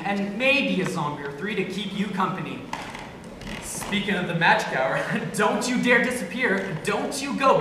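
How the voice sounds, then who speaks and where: A woman's voice: medium pitch, fairly bright tone. Woman acting a theatrical late-night radio DJ, very echoey. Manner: declaiming, rhythmic, rhyming patter. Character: theatrical, chatty female late-night radio DJ, playful and lonely. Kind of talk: amateur stage play monologue. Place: stage in a hall, recorded from afar. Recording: echoey hall, distant mic, noisy.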